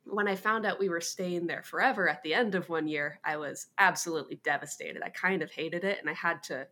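A woman's voice: on the low side, brisk, sharp tone. A young woman who grew up in Hawaii, chatting as an interview guest in a casual, self-deprecating way with frequent "um"s and "like"s.

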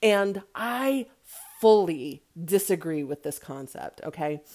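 A woman's voice: lowish voice, expressive, slow, a little mellow. A woman hosting a casual, opinionated review monologue, chatty and emphatic, often checking in with the listener. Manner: chatty, emphatic, rhetorical check-ins like "right?" and "okay?". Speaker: podcast host and movie reviewer.

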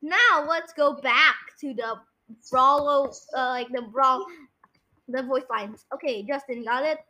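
High, bright-voiced young podcast host. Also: measured pace. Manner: bossy, correcting the co-host. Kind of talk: casual podcast quiz chat.

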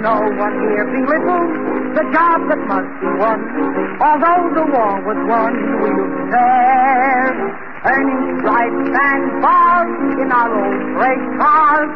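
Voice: sits high, slow pace, loud music behind the voice. A man singing a jaunty 1940s-style military novelty song. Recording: muffled old radio recording. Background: musical accompaniment.

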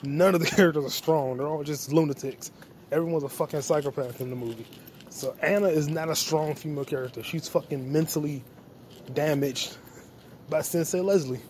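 Young male podcast host, a bit high-pitched, rambling and joking while walking outside at night; faint street noise. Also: brisk, a little mellow. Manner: profane, loose, joking. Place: outdoors on a quiet street.

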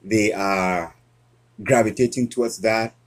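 Earnest Cameroon-born male guest, husky, halting delivery. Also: lowish voice, fairly even intonation. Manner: earnest, halting with frequent restarts. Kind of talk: casual interview conversation.

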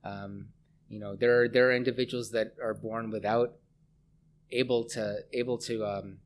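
A middle-aged Canadian man speaking as a scientist guest in a conversational interview, pausing often as he thinks. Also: fairly low pitch, flat delivery, slight background noise. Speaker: interview guest, research scientist and lab head.

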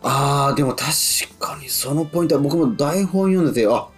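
A man's voice: fairly high pitch, expressive, fairly quick, slightly rough, faint music behind. A man hosting a radio talk show, politely interviewing guests and often chiming in as he listens. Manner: polite, frequent listener responses.

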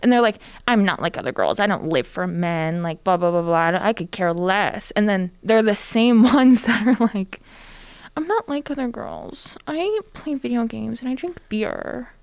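A woman's voice: medium pitch, animated, moderate pace, no echo. A young woman co-hosting a podcast, chatting casually in a blunt, sarcastic way with lots of "like". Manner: blunt, sarcastic, heavy use of "like". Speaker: podcast co-host.

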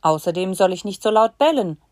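A woman giving a slow dictation to learners, reading in short chunks and saying the punctuation aloud. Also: medium pitch, lively intonation, darkish tone, dry recording, clean studio sound.

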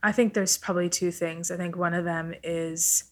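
A woman's voice: fairly low pitch, fairly even intonation, normal speed. A woman whose first language is Italian, chatting casually as a podcast guest and thinking aloud. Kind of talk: casual chat.

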